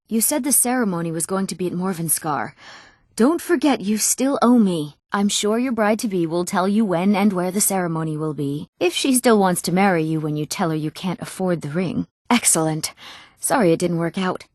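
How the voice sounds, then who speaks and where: A young woman playing an eager, earnest townswoman in a fantasy video game, speaking expressively and clearly. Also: fairly low pitch, darkish tone, dry recording, clean studio sound, lightly acted. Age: young adult. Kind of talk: scripted fantasy game dialogue.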